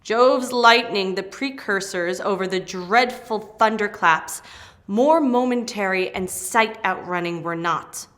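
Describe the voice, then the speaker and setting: A woman's voice: mid-range voice, measured pace, fairly bright tone, natural voice. A woman playing an eager, proud airy spirit servant in a declamatory, emphatic Shakespearean stage reading. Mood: vivid, excited. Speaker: actor in dramatic reading.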